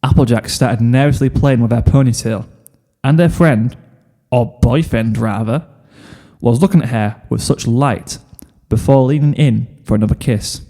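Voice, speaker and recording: A man's voice: mid-range voice, normal speed, mellow. A man reading a story aloud as a scripted narration, in the role of fan fiction narrator. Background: music.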